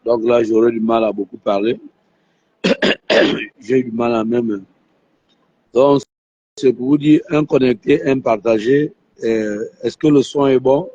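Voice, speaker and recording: Hoarse man with a flu. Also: medium pitch, steady tone, takes it slowly, long pauses, a little mellow, dry recording. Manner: talks to viewers, repeats himself. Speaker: live stream host. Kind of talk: live phone interview. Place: airport. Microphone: phone. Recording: muffled phone audio.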